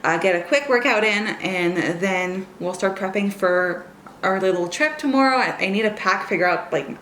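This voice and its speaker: A woman's voice: mid-range voice, moderate pace, a bit bright, rough recording. Young woman, native French speaker, rambling vlog chat, slight background hiss. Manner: chatty, rambling, lots of "like". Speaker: vlogger. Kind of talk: casual reading vlog chat.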